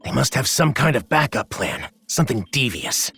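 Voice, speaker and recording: Anime game hero: fiery, determined fighter, gritty bright voice with lively pitch swings. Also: male voice, moderate pace, vocal fry, breathy edge, exaggerated voice. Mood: suspicious. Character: earnest, fiery young male martial-arts hero. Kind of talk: anime video game voice acting. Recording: music behind the voice.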